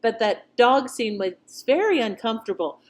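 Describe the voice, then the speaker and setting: A woman's voice: on the high side, animated, moderate pace. A middle-aged woman hosting with casual, conversational and reflective commentary. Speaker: channel host. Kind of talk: casual commentary on old radio shows.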